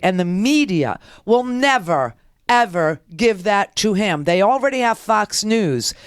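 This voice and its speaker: A woman's voice: medium pitch, no echo, studio quality. A woman hosting a political talk radio show, sarcastic and combative, heckling the clips she plays.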